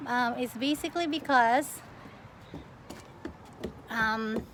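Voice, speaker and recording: High-voiced young woman chatting, noisy recording. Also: slow pace. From Philippines. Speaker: plant vlogger. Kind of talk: casual get-to-know-me question-and-answer chat. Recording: clearly noisy home recording.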